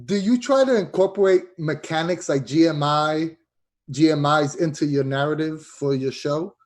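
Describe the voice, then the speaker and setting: A man with a fairly high-pitched voice hosts a podcast interview. He talks casually and conversationally, putting questions to his guest. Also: moderate pace.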